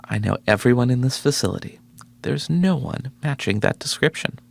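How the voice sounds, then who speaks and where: Very gravelly, sceptical game scientist. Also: male voice, mid-range voice, expressive, moderate pace. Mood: guarded, dry. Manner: dry, clipped, sceptical. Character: guarded, sceptical, dryly sarcastic male research scientist. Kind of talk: video game dialogue.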